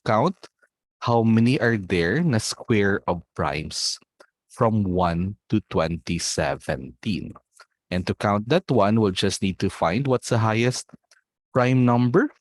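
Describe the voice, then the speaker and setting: A man with a Filipino accent leads an online olympiad math session, walking through the solution step by step and slipping Tagalog words into his English. His voice sits fairly low, and the sound is dry and close, with no room around it. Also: dry recording. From Philippines. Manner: explains step by step, mixing in Tagalog words, checks in with students.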